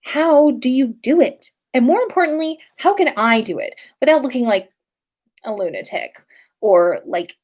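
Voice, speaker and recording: A woman's voice: high voice, lively intonation, moderate pace, a little mellow, pitched above the performer's own voice. A woman voicing a haughty, easily flustered teenage schoolgirl from a storybook, indignant and snobbish. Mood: exasperated.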